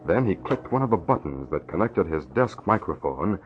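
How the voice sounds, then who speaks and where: A man's voice: sits low, very animated, normal speed. A man narrating a scripted radio adventure drama in an old-time broadcast style. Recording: dull old radio recording, faint noise.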